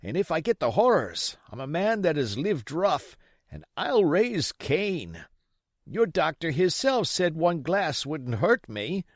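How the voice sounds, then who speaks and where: A man voicing a sick, desperate old pirate in a storybook, wheedling and blustering in rough sailor's dialect. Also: fairly high pitch, very animated, slow, no echo, clean studio sound, pitched above the performer's own voice, full character voice. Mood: desperate pleading. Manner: rough nautical dialect, pleading and cursing.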